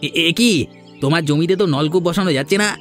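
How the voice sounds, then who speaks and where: Fast, shifty cartoon henchman voiced by a man. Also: on the high side, a bit bright, close to an everyday voice. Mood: feigned alarm. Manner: hesitant, fumbling for excuses. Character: shifty henchman feigning helpfulness. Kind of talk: Bengali fairy-tale cartoon dubbing. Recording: music bed under the voice.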